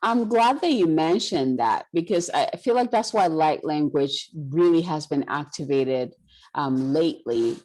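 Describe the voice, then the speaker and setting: Woman from Ghana, deep register, earnest and emphatic; spiritual talk host. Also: lively intonation, unhurried, darkish tone, rough recording. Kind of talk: spiritual conversation.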